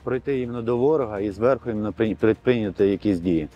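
A man's voice: fairly low pitch, quick pace, dark tone, highs cut off, faint music behind. A young man, a soldier, answering interview questions outdoors in an open field. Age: young adult.

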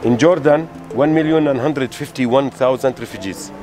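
A middle-aged man from Palestine, guiding visitors outdoors in halting English. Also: medium pitch, a little mellow, faint music behind. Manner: halting non-native English, reading out figures. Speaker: tour guide. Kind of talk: explaining to visitors. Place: outdoors by a street wall.

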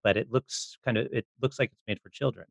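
A man's voice: mid-range voice, a little fast, gravelly, no room sound. A man with a mid-range voice in a dry-sounding podcast setup, casually working his way toward a question for his guest. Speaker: podcast host. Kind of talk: casual interview conversation.

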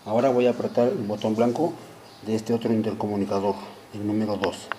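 A middle-aged man narrating a hands-on product tutorial, explaining deliberately step by step in short phrases. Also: fairly low pitch, steady tone, background noise. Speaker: product demonstrator, host. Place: small indoor room at home.

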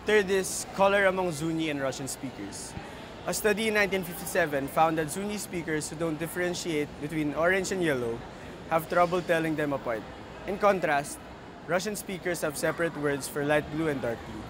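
A man's voice: a little high, fairly quick. A young man from the Philippines, a university student, reading examples to camera outdoors on campus. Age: young adult. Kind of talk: scripted class video presentation. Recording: outdoor traffic noise behind.

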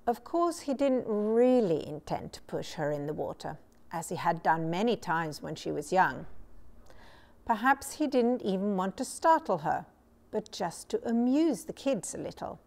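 A middle-aged woman reading a children's story aloud as a storyteller, measured and expressive. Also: mid-range voice, gravelly. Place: small furnished room indoors. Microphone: lapel mic.

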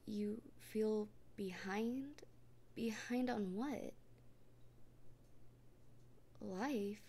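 Woman, whispered comfort roleplay, gentle and reassuring, slow, dark warm tone. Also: medium pitch, husky. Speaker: roleplay performer speaking as a partner.

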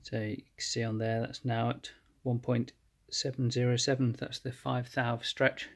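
A man calmly explaining his engine rebuild step by step while he works at a cluttered workshop bench. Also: mid-range voice, creaky. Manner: methodical, plain explanatory, pauses mid-phrase. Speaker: home mechanic rebuilding an engine. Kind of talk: hands-on workshop walkthrough.

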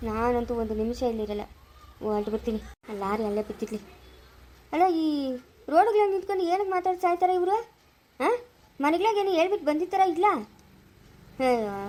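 A woman's voice: extremely high pitch, dark tone, low-quality recording. A woman voicing an irritable, self-serving truck driver in a serial drama, muttering complaints. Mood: exasperated.

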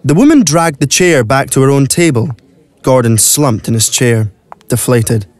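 Audio play narrator: man with middle pitch, scripted storytelling, close dry studio recording. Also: animated, normal speed, dry recording.

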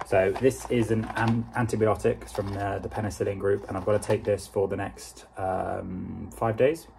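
A young man with a British accent talking casually to camera in a halting, understated way, with a husky, gravelly voice. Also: fairly low pitch, little pitch movement, noisy background. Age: young adult. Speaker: vlogger. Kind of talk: casual vlog talk to camera.

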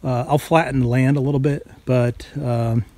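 A man's voice: mid-range voice, fairly even intonation, moderate pace, mellow, no room sound. A man giving a casual, folksy how-to narration in a plain-spoken way, recorded outdoors on a handheld camera. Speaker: farm vlogger. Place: outdoors on a farm property. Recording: outdoor noise behind the voice.

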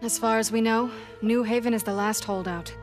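A woman's voice: on the high side, fairly even intonation, normal speed, close to an everyday voice. A woman playing an inquisitive female engineer in a video game cutscene. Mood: serious. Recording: music under the voice.

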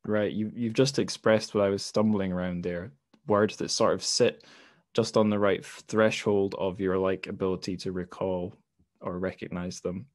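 A man's voice: sits low, fairly even intonation, moderate pace, no room sound, clean studio sound. A man hosting a podcast interview over a video call, casual and hesitant, with many fillers and restarts. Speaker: podcast host. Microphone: home mic on a video call.

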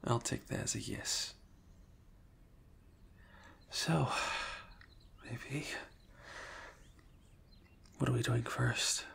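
A young man speaking softly and hesitantly in an improvised ASMR roleplay, often dropping to a whisper. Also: medium pitch, steady tone, drawn-out words, gravelly, pauses often, breathy edge.

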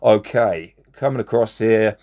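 A man with a lowish voice that has gone hoarse talks through a mobile strategy game. His delivery is chatty and matey, and he keeps breaking off to give shout-outs to players. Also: measured pace. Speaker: gaming channel host. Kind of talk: casual gameplay commentary.

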